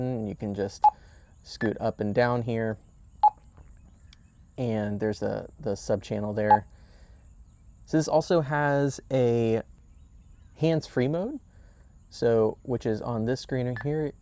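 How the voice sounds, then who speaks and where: A man's voice: mid-range voice, dark tone. Man casually demonstrating a product. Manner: plain-spoken, explanatory. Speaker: product reviewer.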